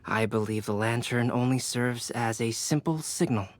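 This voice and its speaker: A mid-pitched, even voice from an actor playing an analytical scholar in a video game. The character lays out reasoning calmly and deliberately. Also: dry recording, natural voice. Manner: measured, reasoning, calm.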